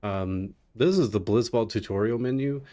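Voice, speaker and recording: A man's voice: fairly low pitch, moderate pace, dark tone. A man commentating a live speedrun in a casual, playfully sarcastic way. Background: game music and dialogue.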